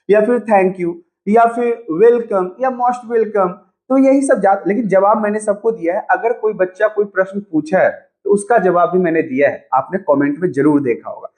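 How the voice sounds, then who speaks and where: Male online teacher, high voice, chatty, coaxing, repeats phrases for emphasis, informal lesson delivery. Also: darkish tone. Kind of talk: exam-prep video lesson, informal explaining.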